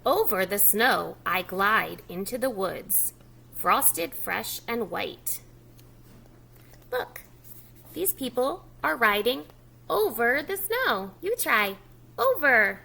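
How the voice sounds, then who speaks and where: A woman's voice: fairly high pitch, very animated, takes it slowly, creaky, sharp tone. A woman preschool educator leading a read-aloud lesson for young children, speaking instructively and repeating key words. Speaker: preschool educator reading a picture book to children.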